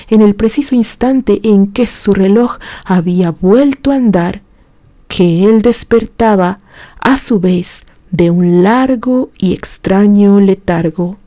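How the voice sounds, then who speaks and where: A woman narrates a romantic tale in a soft-edged, mellow voice. She takes her time, shaping phrases expressively with dramatic pauses. The sound is close and dry. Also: medium pitch, dry recording. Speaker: story narrator. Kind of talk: dramatic scripted audiobook narration of a romantic story.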